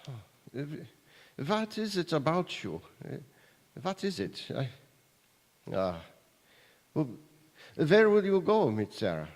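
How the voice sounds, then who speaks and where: A man playing a hesitant, awkward music school master in a radio play, speaking haltingly with many pauses. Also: fairly high pitch, big pitch swings, a little mellow, exaggerated voice. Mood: concerned. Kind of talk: radio drama acting before an audience. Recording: echoey hall, long reverb.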